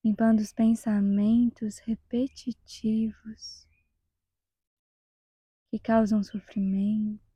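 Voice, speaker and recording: A Brazilian woman guides a meditation in a soft, breathy half-whisper. Her pitch stays flat and her pace is slow, with calm, measured pauses. The sound is close and dry. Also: no echo, lo-fi sound.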